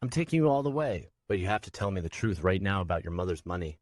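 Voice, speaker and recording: A harsh, impatient man snaps and wheedles by turns, as a narrator puts him on. His voice sits a little above the narrator's usual low range. Dry, close recording. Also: sing-song, quick pace, darkish tone, no echo. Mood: coaxing. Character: harsh, bullying adult man driving a carriage.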